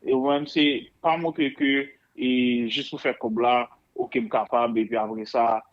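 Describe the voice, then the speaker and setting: A man with a Haitian accent speaking conversationally as an interview guest, telling stories in an animated, repetitive way. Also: mid-range voice, a little slow, highs cut off, slight background noise. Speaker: interview guest, air traffic controller.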